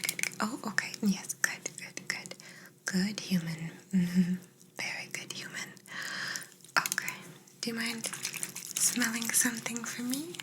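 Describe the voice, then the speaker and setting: Woman doing a whispery alien lady for asmr, slow and soothing, curious about humans, bit teasing, lots of gentle reassurance. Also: medium pitch, natural voice.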